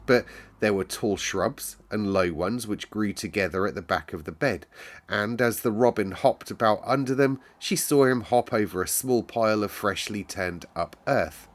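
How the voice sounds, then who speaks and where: A man with a lowish voice hosts a children's read-aloud. He is expressive and informal, speaking straight to the listener as a storyteller. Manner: animated, informal, addresses listeners directly. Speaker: storytime reader and host.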